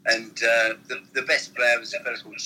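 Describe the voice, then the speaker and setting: A man's voice: medium pitch, level tone, a little fast, pushed and bright, a little hiss or hum, poor audio. An older man, a former football manager, telling rambling stories from his past in an interview. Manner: rambling anecdotal storytelling. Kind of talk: reminiscing interview.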